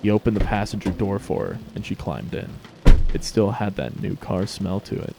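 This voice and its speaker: Deep, gravelly male narrator. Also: normal speed, noisy background. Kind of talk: scripted story narration.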